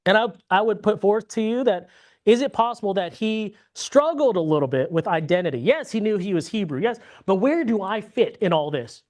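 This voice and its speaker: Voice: medium pitch, expressive, brisk, a little mellow. A Bible class teacher from the United States, leading a conversational lesson, often asking "right?" and restarting sentences. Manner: checks in with frequent tag questions; restarts and repeats words mid-sentence.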